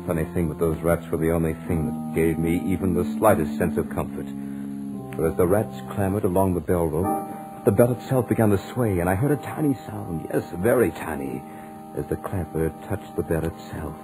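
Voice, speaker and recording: A deep-voiced doomed man recounts his terror in a haunted, deliberate way. It is old 1940s radio horror drama, dramatic and grave, with music clearly heard behind him. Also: rough recording. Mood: faint fading hope. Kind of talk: 1940s radio horror acting, first-person narration.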